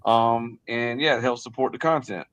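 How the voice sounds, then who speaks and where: A man's voice: medium pitch, steady tone, moderate pace, a bit bright. A man co-hosting a sports livestream, chatting casually in a rambling, hesitant way. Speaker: sports show co-host and interviewer. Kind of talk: casual, unscripted livestream chat.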